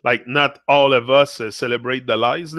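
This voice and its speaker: A man's voice: medium pitch, fairly even intonation, normal speed, fairly bright tone, no echo. A man with a Québécois French accent, a native French speaker hosting a casual livestream, chatting with viewers and switching back and forth between English and French. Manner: switches between English and French mid-thought, tags phrases with "là". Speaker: livestream host. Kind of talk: casual live-stream chat reading comments.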